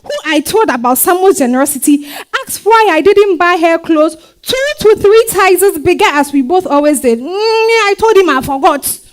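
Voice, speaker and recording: A woman with a Ghanaian accent playing a warm, weary young market-trader wife and mother in a staged reading, conversational and full of hums and exclamations. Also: extremely high pitch, expressive, normal speed, hardly any pauses. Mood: wistful. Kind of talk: dramatized stage reading, first-person storytelling.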